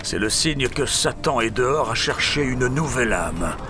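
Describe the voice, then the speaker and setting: An actor playing a cruel, cunning old drunkard blacksmith in an audio drama, with a gruff, gravelly, muttering delivery. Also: male voice, mid-range voice, lively intonation, moderate pace, over music, close to an everyday voice. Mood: sly, boastful. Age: older. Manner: gruff, drawling, muttering hesitations. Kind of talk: French audio fiction acting.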